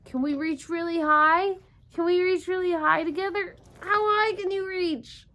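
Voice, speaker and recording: A woman's voice: very high-pitched, steady tone, unhurried, pushed and bright. A woman doing an interactive storytime for young children, encouraging them with repeated questions. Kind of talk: interactive picture-book read-aloud for young children.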